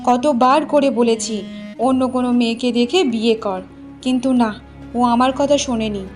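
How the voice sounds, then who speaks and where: A woman's voice: on the high side, steady tone, moderate pace, darkish tone, soft background music, lightly acted. A woman playing a spiteful sister-in-law in a village cartoon, scolding in a taunting, sarcastic way. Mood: scornful. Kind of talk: Bengali moral cartoon voice acting.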